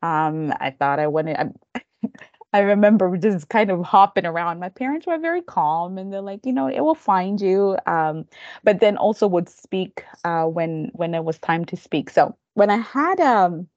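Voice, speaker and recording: Low-voiced woman, thoughtful. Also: animated, darkish tone, dry recording, lo-fi sound. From Kenya. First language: Kikamba. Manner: thoughtful, earnest, halting restarts. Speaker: guest counselor educator. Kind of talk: reflective interview storytelling.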